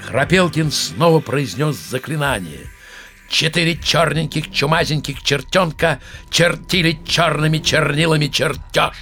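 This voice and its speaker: An actor playing a storyteller, narrating a children's audio play in a measured, dramatic way. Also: male voice, a little high, bright, forceful tone, faint music behind. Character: male fairy-tale storyteller.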